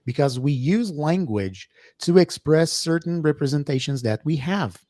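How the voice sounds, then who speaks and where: A middle-aged man with a Brazilian Portuguese accent and a fairly high-pitched voice. He talks like a professor on an educational podcast, hesitating often and filling gaps with fillers. The recording is dry and close. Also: animated, moderate pace, mellow, dry recording. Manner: hesitant, many fillers and restarts. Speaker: co-host, professor. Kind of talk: casual educational podcast chat.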